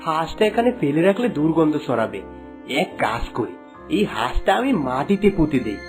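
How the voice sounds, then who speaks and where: A man voices a greedy hunter from a cartoon fairy tale. His delivery is dramatic and swings widely in pitch, with faint music underneath. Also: very animated, a little fast. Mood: fearful. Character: greedy cruel male hunter, later a fearful troubled husband.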